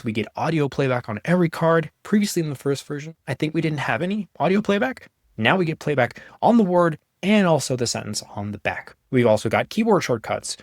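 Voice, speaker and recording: A young man giving a casual, conversational walkthrough of software he made, in an informal way. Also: medium pitch, dry recording, clean studio sound. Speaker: app developer presenting his own software.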